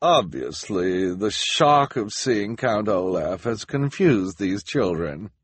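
A man voicing a smug, stern female police officer in an audiobook, speaking slowly and emphatically. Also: fairly low pitch, animated, husky, no echo, heavily acted.

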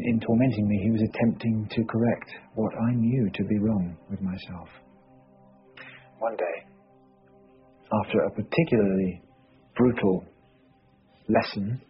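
A wry British consulting detective, blunt yet measured, with a fairly low voice, as an actor plays him. His lines come through muffled television sound with faint music underneath. He speaks in a dry, deliberate way. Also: natural voice. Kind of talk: TV drama acting.

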